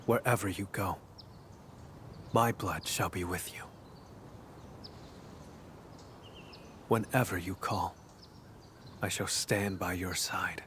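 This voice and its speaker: Low, slow, solemn game warrior. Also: male voice, a little hiss or hum, full character voice. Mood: solemn, devoted. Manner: measured, formal, hushed and intimate. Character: brooding, solemn male warrior, earnest and devoted. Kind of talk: video game story dialogue acting.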